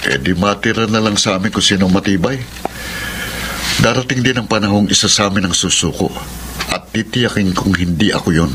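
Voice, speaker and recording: A man's voice: fairly low pitch, a little fast, noisy background, natural voice. An actor playing a devoted, determined young man in love in a Filipino radio drama, with a Filipino accent. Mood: calm, determined. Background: loud dramatic music underneath.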